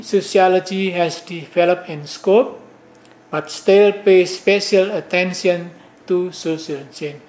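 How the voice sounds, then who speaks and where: A man's voice: sits high, reverberant. An older man, a professor lecturing with many fillers and restarts. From Indonesia. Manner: slow, halting delivery with many fillers and restarts. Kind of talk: academic lecture.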